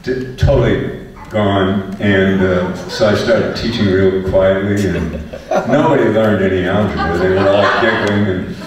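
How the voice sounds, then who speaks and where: An older man with a lowish voice tells a classroom story to a panel audience, stumbling and restarting as he goes. He is picked up from a distance in a very echoey hall. Laughter from the audience comes through behind him. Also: unhurried, few pauses, a crowd in the background. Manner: chatty anecdotes with many restarts. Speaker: panel moderator, former teacher.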